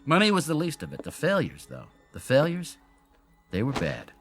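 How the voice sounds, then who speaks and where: A man's voice: a little high, wildly swooping pitch, normal speed, soft background music. An actor in a radio drama playing a brilliant, lonely male inventor who explains himself in theatrical, brooding monologues. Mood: proud, intense. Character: brilliant, lonely, self-doubting male inventor of an android double.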